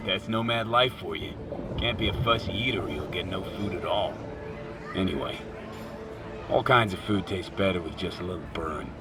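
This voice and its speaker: A man's voice: deep voice, moderate pace, background noise. An actor playing a laconic, curious male mercenary with a dry streak, speaking tersely and casually in naturalistic video game dialogue. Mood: dry, relaxed. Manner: terse, casual, matter-of-fact. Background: loud music.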